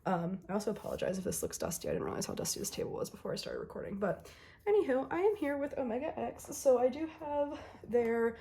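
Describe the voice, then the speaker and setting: A young woman's hoarse, slightly worn voice fills this casual unboxing chat. She talks to her viewers in a loose, friendly way, filling her pauses with ums. Her excitement keeps showing through her scratchy throat. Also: fairly high pitch. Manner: chatty, many "um"s, excited. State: hoarse, recovering from illness. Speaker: K-pop album unboxing vlogger.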